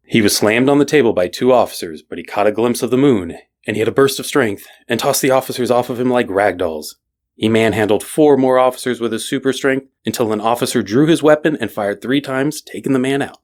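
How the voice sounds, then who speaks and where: Male narrator, lowish voice, scripted bedtime storytelling for children. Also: a little mellow.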